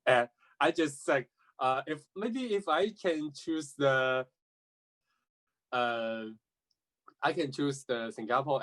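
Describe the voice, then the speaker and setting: A man from China with a Chinese accent, a Cantonese speaker, answering a panel question in halting English with many restarts. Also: medium pitch, a bit bright. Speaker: student intern.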